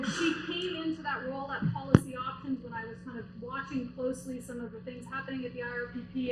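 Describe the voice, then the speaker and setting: A fairly high-voiced woman gives a chatty, rambling introduction at a university event, with plenty of fillers and side remarks. Her voice rings around an echoey lecture room, and a steady noise sits beneath it. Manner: chatty, warm praise with asides and fillers.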